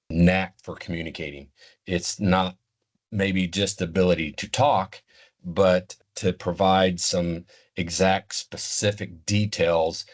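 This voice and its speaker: Very deep-voiced older man, halting delivery. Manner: halting, deliberate, measured explaining. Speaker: interview guest, retired law enforcement communications expert. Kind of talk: unscripted interview answers.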